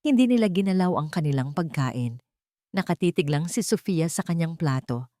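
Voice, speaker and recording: A woman's voice: lowish voice, animated, moderate pace, dark tone, no echo, clean studio sound. A woman with a Filipino accent narrating a sentimental family story in a dramatic, scripted storytelling style.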